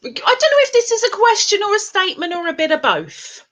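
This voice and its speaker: Playful high-voiced woman host. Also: brisk. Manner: playful, teasing, animated. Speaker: live-stream interview host. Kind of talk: casual live-stream interview.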